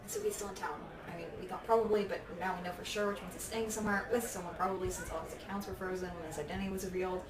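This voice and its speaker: A woman acting a quick-thinking young woman who reasons aloud in a naturalistic audio drama. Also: fairly low pitch, fairly even intonation, close to an everyday voice. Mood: analytical. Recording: roomy, distant, crowd murmur behind.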